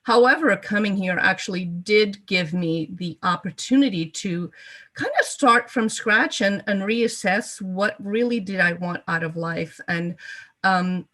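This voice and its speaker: A woman's voice: mid-range voice, a little slow, fairly bright tone. A woman in her fifties, from Portugal and a native Portuguese speaker, telling her life story as an interview guest with frequent ums and repeated words. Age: 50s.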